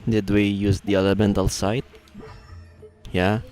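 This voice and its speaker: A man with a low voice gives casual video game commentary, muttering his thoughts as he plays. Faint music runs behind him. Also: flat delivery, measured pace, a little mellow. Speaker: game livestreamer. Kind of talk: casual playthrough commentary, thinking aloud.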